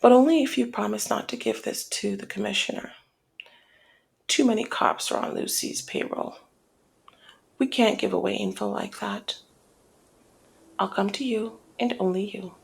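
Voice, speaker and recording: Woman acting flirty mob boss, very creaky murmur. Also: mid-range voice. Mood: persuasive, earnest. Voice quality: breathy creaky murmur, often dropping to whisper. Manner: teasing, deliberate, drawn-out phrasing. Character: flirty, cunning female mob boss, coolly persuasive. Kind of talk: ASMR roleplay, intimate scripted monologue.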